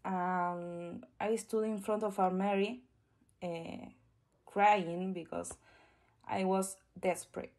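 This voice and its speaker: Slow, shy Argentine woman. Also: medium pitch, steady tone, a little mellow. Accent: Argentine Spanish. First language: Spanish. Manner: nervous and shy, careful halting English. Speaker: guest sharing a testimony. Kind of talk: personal testimony.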